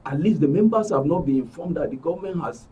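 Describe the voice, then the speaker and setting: A man with a Nigerian accent and a husky voice giving an emphatic account as an interview guest, repeating phrases for stress. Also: a little high, animated, fast talker, warm tone, far from the mic, poor audio. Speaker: interview guest, club member. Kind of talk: spoken account in a broadcast interview.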